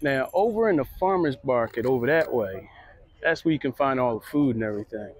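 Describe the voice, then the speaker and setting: A middle-aged man gives relaxed, chatty walking commentary at an outdoor flea market, recorded on a handheld phone. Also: mid-range voice, fairly quick. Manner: relaxed, chatty, pointing things out. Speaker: vlogger. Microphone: handheld phone camera.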